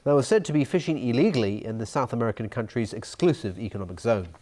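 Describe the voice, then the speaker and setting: A man reading the evening news as anchor, in a steady, formal delivery. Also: mid-range voice, quick pace.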